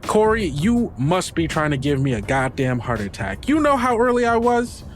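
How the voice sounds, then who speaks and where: Man putting on a high-pitched, scolding woman customer. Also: big pitch swings, normal speed, slight background noise. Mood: exasperated scolding. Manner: exasperated, emphatic scolding. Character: fussy, scolding woman customer, sweet underneath.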